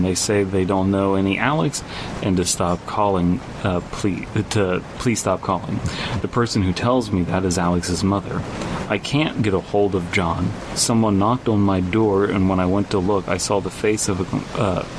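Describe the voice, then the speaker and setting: A man co-hosting a podcast, reading a horror story aloud and commenting on it casually and dismissively. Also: normal speed. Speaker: podcast co-host. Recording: noisy recording with rumble.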